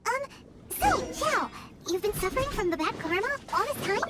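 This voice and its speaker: A woman voicing a tiny, childlike, excitable fairy companion who chatters and exclaims in video game dialogue. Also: normal speed, heavy background noise. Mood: curious, chatty. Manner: squeaky, exclamatory, refers to herself by name. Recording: loud music behind the voice. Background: loud game music.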